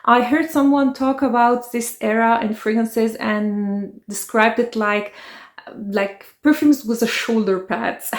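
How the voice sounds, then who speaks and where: A woman in her forties from Egypt, hosting a chatty, personal vlog about her life in fragrances. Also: on the high side. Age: 40s. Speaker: fragrance channel host. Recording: echoey room.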